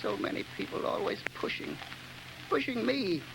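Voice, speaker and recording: A gravelly, giggling madman. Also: extremely high pitch, wildly swooping pitch, normal speed, noisy background, full character voice. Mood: menacing. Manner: stammering, giggling, crude grammar. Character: unhinged giggling male killer, night watchman. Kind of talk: 1930s radio drama acting.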